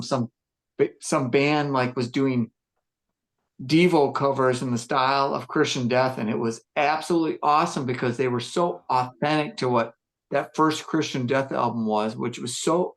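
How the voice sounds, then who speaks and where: Gruff male musician, mid-pitched, unhurried podcast storyteller who circles back and restarts. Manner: reflective, rambling storytelling with fillers and restarts. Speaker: musician discussing his band. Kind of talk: casual podcast chat.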